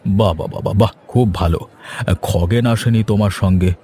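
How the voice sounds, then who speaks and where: A man voicing a paternal village landlord in a fairy-tale cartoon, with a measured, patronly manner. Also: on the low side, dark tone, breathy edge, a faint crowd. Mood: pleased, encouraging. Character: paternal village landlord, encouraging, quietly self-interested.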